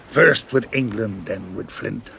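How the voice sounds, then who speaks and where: An actor playing a sly old pirate ringleader in an audio adventure, theatrical and coaxing, often dropping into a breathy conspiratorial growl. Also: male voice, on the low side, slow pace, exaggerated voice. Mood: boastful. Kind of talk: dramatised audio adventure acting. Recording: noticeable background noise.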